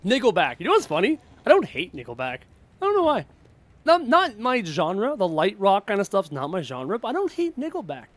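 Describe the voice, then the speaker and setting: A man's voice: sits high, wildly swooping pitch, a little fast, a bit bright, dry recording. Chatty Canadian man streaming, slightly sick. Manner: chatty, reads viewer questions aloud, frustrated outbursts at the game. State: sick with a cough. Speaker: gaming streamer. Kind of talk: casual live-stream chat while playing.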